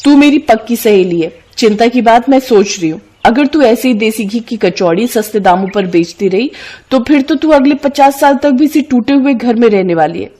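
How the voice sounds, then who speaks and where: A woman's voice: a little high, lively intonation, moderate pace, mellow. A woman voicing a cunning, bossy young city woman who pushes and persuades her friend in a cartoon moral story. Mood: persuasive. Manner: pushy, scolding, persuasive.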